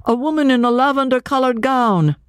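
A woman's voice: sits high, brisk, clear, resonant tone, no echo, studio quality, voice pushed up, close to an everyday voice. A woman voicing an urgent, commanding male asylum keeper in an audiobook, barking and imperious.

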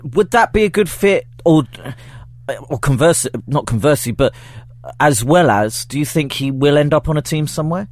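A man co-hosting a casual sports podcast, halting and searching for his words. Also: fairly high pitch, animated, no room sound, studio quality. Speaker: podcast co-host.

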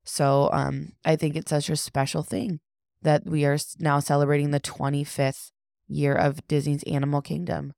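Podcast co-host, woman in her thirties: very low, smooth voice, congested, gushing, dry sound. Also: level tone, moderate pace, darkish tone, dry recording. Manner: enthusiastic and gushing. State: congested from allergies. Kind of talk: casual chat.

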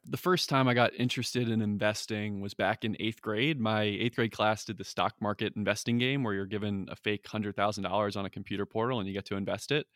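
A young analyst answers interview questions with conversational enthusiasm. His voice is low-pitched, and the sound is dry and close. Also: fairly even intonation, brisk, hardly any pauses, fairly bright tone, no room sound. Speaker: research analyst guest.

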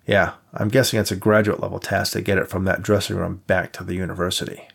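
A skeptical young man who grumbles his way through every task, in a man's own low reading voice. His tone is dry and sarcastic, with a gravelly, husky rasp. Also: level tone, fast talker, full character voice. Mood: grumbling. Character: skeptical grumbling young male photographer assistant.

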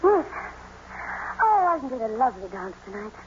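A woman's voice: a little high, big pitch swings, moderate pace, a little hiss or hum. An actress playing a defiant, impassioned young lady in love, haughty and heated, in old radio drama style. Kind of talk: 1940s-50s radio drama acting. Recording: muffled old radio broadcast.

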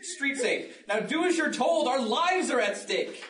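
A woman's voice: high voice, animated, measured pace, fairly bright tone. A woman playing a frantic, pleading captain in a live sci-fi audio drama, urgent and exclamatory. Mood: desperate pleading. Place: live panel in a public library room. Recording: echoey room, far from mic, noisy.

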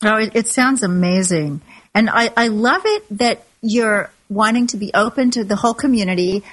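A woman's voice: medium pitch, expressive, normal speed, a bit bright, low-quality recording. A woman interviewing a guest, friendly and encouraging, laughing often.

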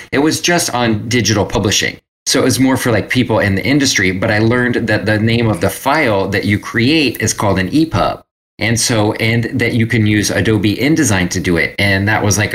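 A man's voice: fairly low pitch, a bit bright. A man telling his story casually as a guest in an interview. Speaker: podcast guest, graphic designer.

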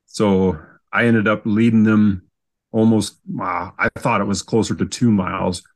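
A man's voice: on the low side, fairly even intonation, unhurried. A man with a Canadian accent telling stories casually as an interview guest, in an easygoing, self-deprecating way. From Canada, southern Saskatchewan. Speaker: podcast guest.

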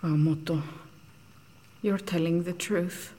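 An actress playing a guarded, earnest scientist in an audio drama, speaking precisely and dryly. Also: female voice, fairly low pitch, steady tone, slow pace, mellow. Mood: tense, subdued. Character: guarded female scientist secretly making truth serum.

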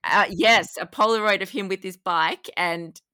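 A woman's voice: medium pitch, normal speed, pushed and bright, smooth, no echo, clean studio sound. A woman co-hosting a casual fan podcast, chatting with an enthusiastic manner. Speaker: podcast co-host.

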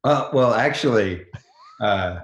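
A middle-aged man with a very low voice speaks in a casual interview style. He is informal and halting, often restarting his phrases. The recording is roomy, with clear echo around the voice. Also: lively intonation. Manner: informal, halting, frequent self-rephrasing. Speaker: company chief executive, podcast guest.

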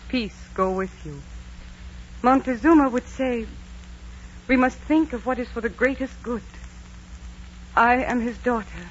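An actress playing a noble, steadfast young Aztec princess in earnest 1940s radio acting style. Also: female voice, on the high side, natural voice. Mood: tender, resolute. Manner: earnest, solemn, theatrical. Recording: muffled 1940s radio recording, faint noise.

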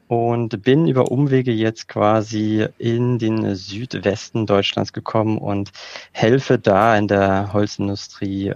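A man from Berlin, guest on a podcast, explaining his career in a measured way with filler sounds. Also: fairly low pitch, a little mellow, dry recording. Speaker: guest, organizational developer. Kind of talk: casual interview. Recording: dull lo-fi dry sound.

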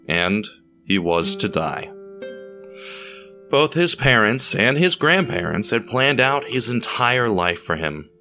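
Bright-voiced man narrating, pitch swinging widely. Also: sing-song, takes it slowly. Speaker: narrator of an audio drama. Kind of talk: scripted storytelling narration. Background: music.

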